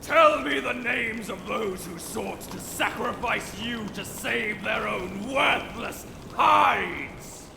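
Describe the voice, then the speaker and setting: A British man voicing an ancient, imperious male dragon in a fantasy audio roleplay, speaking formally and commandingly in a gravelly voice. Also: background noise, exaggerated voice. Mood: roaring fury. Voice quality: low, gravelly. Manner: formal, commanding, haughty, deliberate archaic phrasing. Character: ancient, imperious male dragon lord, proud, quick to righteous anger. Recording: cavernous reverb, long echo.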